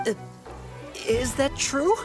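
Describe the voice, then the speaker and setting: A man's voice: very high voice, slow pace. A man voicing an earnest, hesitant young archer trainee in a video game. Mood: hesitant, hopeful. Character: discouraged, eager young male resistance archer trainee. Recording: music behind the voice.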